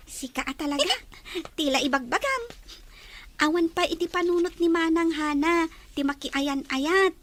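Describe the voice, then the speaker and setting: A woman's voice: extremely high pitch, expressive, measured pace, pauses often, a bit bright, slight background noise, heavily acted. A woman voicing a helpful, considerate young schoolgirl, a sweet little sister in a radio drama. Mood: curious, playful. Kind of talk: Ilocano radio drama acting. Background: faint background music.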